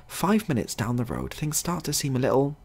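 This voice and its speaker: Husky man with lively pitch. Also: brisk. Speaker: storyteller. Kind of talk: scripted comic story narration.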